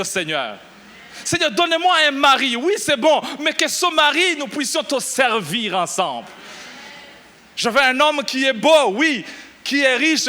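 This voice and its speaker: A middle-aged pastor from Burundi preaching a lively sermon, exclaiming and shouting at the peaks. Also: male voice, very high-pitched. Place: church.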